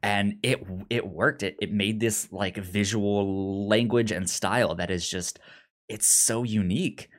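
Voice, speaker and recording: Stuttering man with a lowish voice. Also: steady tone. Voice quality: pronounced stutter, repeating sounds and words. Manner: halting, enthusiastic. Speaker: podcast co-host reviewing films. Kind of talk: casual film discussion.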